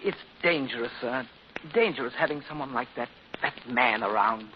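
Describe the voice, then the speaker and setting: An actor playing a timid, anxious, deferential manservant in a 1940s radio drama, on an old muffled broadcast recording. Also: male voice, mid-range voice, normal speed, a little hiss or hum, close to an everyday voice. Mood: worried. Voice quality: deferential, worried.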